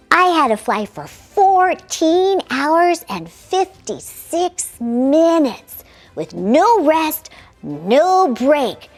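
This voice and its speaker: Spirited young female aviator played by a woman reader, boastful and cheeky, high-pitched, unhurried storybook delivery over music. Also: exaggerated voice. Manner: exuberant, cheeky, dramatic asides. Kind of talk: children's storybook read-aloud.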